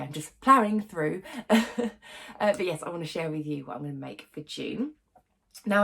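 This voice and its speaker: A young woman with a cold talks casually to her camera, her voice stuffy and congested. She sits fairly low in pitch with a gravelly, fry-edged texture, and fills her chatter with ums and soft laughs. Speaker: sewing channel host.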